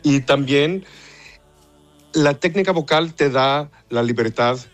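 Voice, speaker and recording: A man from Texas, half Mexican, an opera singer answering interview questions in Spanish with pauses, repeated words and stray English. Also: medium pitch, soft background music. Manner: hesitant, searching for Spanish words, mixes in English.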